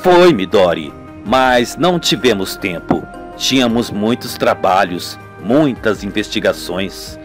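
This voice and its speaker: A man's voice: fairly low pitch, lively intonation, slow, hardly any pauses, voice pushed down. A man voicing an earnest, weary police inspector in an amateur radio soap, over music. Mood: matter-of-fact. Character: weary, earnest male police inspector recounting grim cases.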